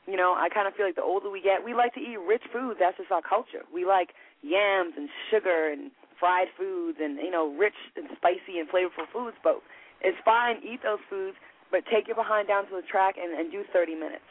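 A woman's voice: medium pitch, brisk. A woman in her late thirties, a guest talking casually and frankly, full of "you know" and "like". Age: 30s. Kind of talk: casual interview chat. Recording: tinny phone-line sound.

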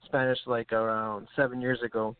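A man's voice: mid-range voice, level tone, moderate pace, clean tone, no room sound. Upbeat man hosting over tinny audio. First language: English. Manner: upbeat, hype-man sign-offs. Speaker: podcast host. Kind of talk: casual show hosting.

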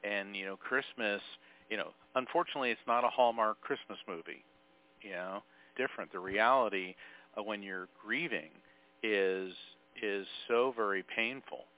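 A man talking as a guest on an interview podcast, earnest and emphatic, easing quieter when speaking about grief. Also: on the low side, normal speed. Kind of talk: interview conversation. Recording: tinny phone-like line.

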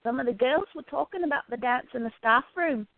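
A woman voicing a quiet, curious gallery worker in a radio play, chatty and gently prodding her friend. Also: sits high, fairly quick, no room sound. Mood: curious, gossipy. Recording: tinny, phone-like narrow sound.

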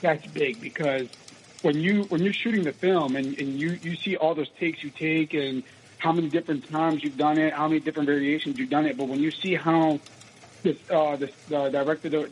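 A raspy-voiced young man from the United States, an actor answering interview questions in a casual, rambling way with many restarts. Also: fairly high pitch, a little hiss or hum, rough recording. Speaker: actor guest.

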